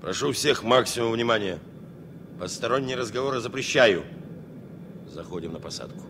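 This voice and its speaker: An actor playing a stern, stoical airliner captain, commanding and terse, with some weariness, in a Soviet radio play. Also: male voice, mid-range voice, lively intonation, normal speed, background noise, close to an everyday voice.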